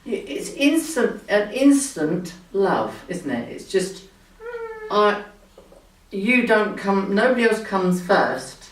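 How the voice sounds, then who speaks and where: A woman's voice: mid-range voice, very animated, slow pace, roomy sound, distant mic, slight background noise, rough recording. A middle-aged mother in a casual family chat, laughing and recalling memories.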